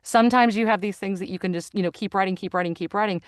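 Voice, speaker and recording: A woman's voice: mid-range voice, fast talker, clear, resonant tone, dry recording, studio quality. A middle-aged woman, a voice actor and narrator, talking earnestly in a casual interview, often restarting and repeating phrases as she searches for words. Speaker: guest, voice actor and audiobook narrator. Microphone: studio microphone.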